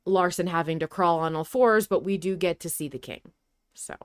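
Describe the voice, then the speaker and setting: A woman with a fairly low voice talks casually as a podcast co-host. She works through her opinions out loud. The sound is close and dry, with no room to it. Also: moderate pace, clean tone, no echo. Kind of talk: casual discussion of a story podcast.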